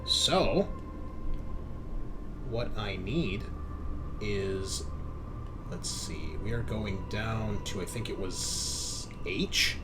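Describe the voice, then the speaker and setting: A man's voice: fairly low pitch, drawn-out words, pauses often. A man hosting a tabletop game as game master, narrating casually with joking, sweary banter. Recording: background noise, room ambience.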